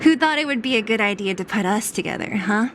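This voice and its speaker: A woman's voice: mid-range voice, expressive, quick pace, noisy background, lightly acted. A woman playing a brash, tough young underworld woman in a game cutscene, blunt and sarcastic. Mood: teasing, blunt. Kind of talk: dramatic game cutscene voice acting.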